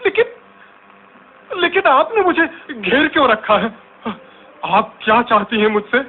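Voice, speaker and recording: Frightened, high-pitched stammering man. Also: big pitch swings, measured pace, a little hiss or hum, full character voice. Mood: alarmed, bewildered. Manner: stammering, repeating words, exclamatory. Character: frightened, astonished human man facing terrible apparitions. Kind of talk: radio drama acting. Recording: tinny phone-like audio with music.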